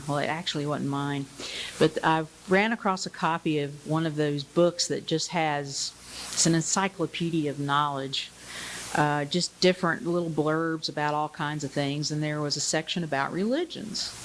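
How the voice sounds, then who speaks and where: Low-voiced older woman. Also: normal speed, a little hiss or hum, poor audio. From Bristol, Tennessee area. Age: 60s. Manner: conversational, chuckles lightly, many fillers. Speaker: church member sharing her story. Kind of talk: personal testimony. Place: church sanctuary. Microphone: pulpit microphone.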